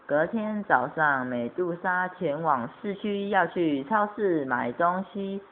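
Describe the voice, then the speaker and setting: A woman's voice: fairly low pitch, lively intonation, slow pace. A woman narrates an amateur radio play like a storybook. She takes her time over each phrase. The sound is thin and tinny, with faint noise underneath. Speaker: narrator of an audio drama. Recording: tinny, band-limited amateur recording with noise.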